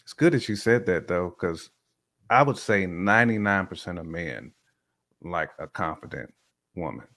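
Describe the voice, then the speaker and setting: A man's voice: low voice, expressive, moderate pace, fairly bright tone. A man chatting casually on a podcast, giving opinions in informal, slangy, emphatic phrases. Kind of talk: casual chat, opinionated commentary.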